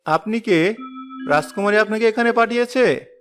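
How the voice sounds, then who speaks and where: A man plays a humble young commoner from a cartoon fairy tale. He is an ordinary village lad in love. Soft music sits behind his voice. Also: high voice, normal speed. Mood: dejected.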